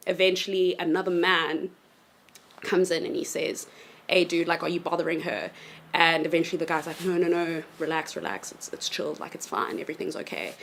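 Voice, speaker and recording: A woman's voice: fairly low pitch, a bit bright, a little hiss or hum. A woman in her twenties from South Africa, telling a story casually, with lots of ums and hesitant restarts. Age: 20s. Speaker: university student, panel guest.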